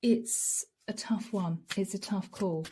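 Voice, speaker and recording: A middle-aged woman giving a channeled tarot reading. She speaks softly and intimately, often dropping to a whisper, and her voice is a little hoarse from a long session. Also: mid-range voice, takes it slowly, a little hiss or hum. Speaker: tarot reader.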